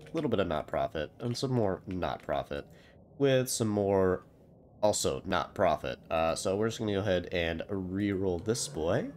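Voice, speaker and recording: Low-voiced congested man, wry game commentary. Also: a little mellow, a little hiss or hum. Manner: rambling, wry, self-deprecating. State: congested with a cold. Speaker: gaming channel host. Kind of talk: casual gameplay commentary.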